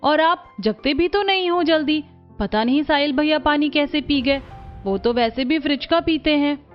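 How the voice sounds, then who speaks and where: A woman's voice: sits high, moderate pace, dull audio, soft background music, voice pushed up, lightly acted. A woman voicing a dutiful, defensive young elder sister in a cartoon story.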